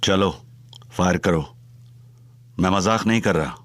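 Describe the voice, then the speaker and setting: An actor playing a dangerous older male gangster in an audio drama, talking slowly with calm, amused menace. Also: sits low, slight background noise. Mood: challenging. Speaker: Chacha Singhi.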